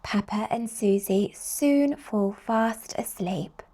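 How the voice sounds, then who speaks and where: A woman reading picture books aloud to young children in an expressive, slow storytelling manner. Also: medium pitch. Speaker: children's storybook reader and host.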